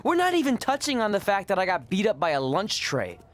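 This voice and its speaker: A young male wrestling hopeful in a video game story. His pitch swings widely as he talks. He comes across as awkward, sarcastic and quick to put himself down. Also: huge pitch swings. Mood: exasperated. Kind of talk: scripted game cutscene acting.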